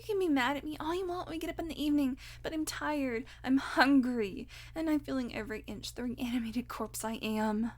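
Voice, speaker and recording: High-pitched, sardonic vampire woman, acted by a woman. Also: a little fast, hardly any pauses, close to an everyday voice. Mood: tired, irritable. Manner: sardonic, foul-mouthed, teasing with pet names. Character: hungry, irritable, sarcastic female vampire on a road trip. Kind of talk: audio roleplay acting, one-sided dialogue.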